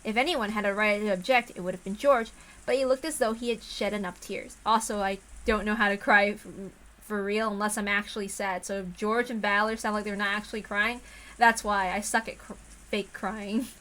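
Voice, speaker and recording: A woman's voice: medium pitch, steady tone, fairly quick. A woman narrating a visual novel aloud in a dramatic storytelling style. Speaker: narrator and reader. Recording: loud music under the voice.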